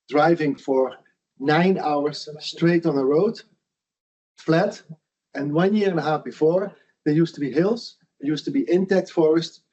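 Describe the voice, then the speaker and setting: A man's voice: a little high, darkish tone. A middle-aged man from the Netherlands, a foundation founder giving a lunch lecture in an earnest, conversational way with frequent restarts. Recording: dull lo-fi sound.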